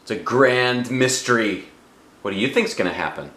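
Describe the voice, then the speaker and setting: A man reading a children's story aloud, expressive and unhurried, with casual asides. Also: medium pitch, roomy sound. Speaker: storybook reader, dad.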